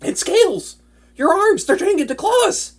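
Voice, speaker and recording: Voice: very high-pitched, very animated, normal speed. A performer voicing an earnest, flustered young wizard in an intimate fantasy audio drama, chatty and prone to anxious stammering. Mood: alarmed.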